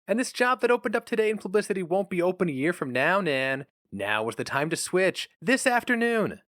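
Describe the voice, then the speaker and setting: A man's voice: high voice, very animated, fairly quick, fairly bright tone, no echo, clean studio sound, pitched above the performer's own voice. A man voicing an eager, stubborn young husband who speaks earnestly and insistently.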